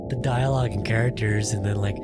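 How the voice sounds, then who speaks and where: A man giving casual video game playthrough commentary in a halting, rambling, puzzled way. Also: on the low side, fairly even intonation. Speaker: gaming video host. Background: game music.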